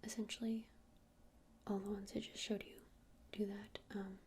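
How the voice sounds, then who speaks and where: A gentle witch who keeps a shop , played by a woman for an ASMR roleplay. She whispers breathily and evenly, with little rise or fall. Her explanations are soothing and hesitant, over a faint layer of background noise. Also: medium pitch, flat delivery, normal speed, lightly acted. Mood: soothing, unhurried. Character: gentle witch shopkeeper showing crystals to a customer.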